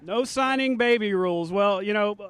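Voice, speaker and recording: A man's voice: high voice, normal speed, a bit bright, smooth. A man anchoring a live sportscast in a conversational, jokey style.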